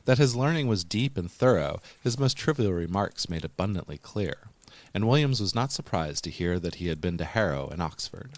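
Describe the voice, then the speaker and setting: A man reading scripted horror narration as a podcast host, in a measured, deliberate and formal manner. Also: deep voice, animated, darkish tone, no echo.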